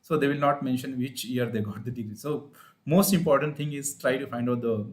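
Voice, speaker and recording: A man with an Indian accent giving an informal live explanatory talk as a speech therapist, in a halting, repetitive, teacherly manner. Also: medium pitch. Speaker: speech-language pathologist hosting an advice livestream.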